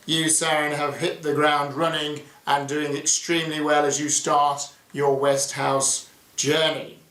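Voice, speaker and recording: Slow-speaking headmaster in an echoey space. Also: male voice, on the high side, flat delivery, pushed and bright. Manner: effusive, congratulatory. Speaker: headmaster presenting a school assembly. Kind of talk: formal school assembly address. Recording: echoey, voice distant from mic.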